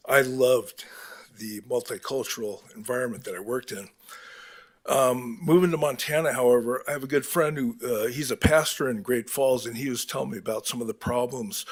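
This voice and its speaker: Man, husky voice, halting candid delivery, council podium mic. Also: medium pitch. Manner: halting and candid, with restarts and throat clearing. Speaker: public commenter. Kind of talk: public comment at a council meeting. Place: city council chamber.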